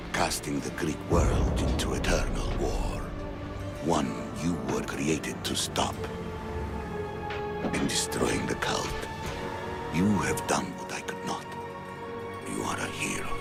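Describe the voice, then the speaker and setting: An actor playing a solemn older fatherly mentor in a video game cutscene, speaking in a slow, portentous, gravelly voice. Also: a bass voice, full character voice. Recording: loud music under the voice.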